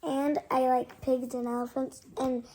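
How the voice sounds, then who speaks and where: A child reading a picture book aloud, halting over the words and adding casual asides. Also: sits high, level tone, darkish tone, low-quality recording. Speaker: storybook reader.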